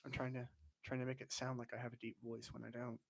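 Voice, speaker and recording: A man's voice: medium pitch, monotone, fast, vocal fry. Playful joking man in banter. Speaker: podcast co-host. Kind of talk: casual livestream chat.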